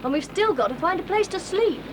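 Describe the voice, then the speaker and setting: Woman playing a bossy earnest schoolboy leader, high and bouncy, loud tape hiss. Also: animated, fairly quick. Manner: earnest, bossy, takes charge. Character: sensible boy, eldest leader of children. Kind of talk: 1980s audio drama acting. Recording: hissy old cassette tape.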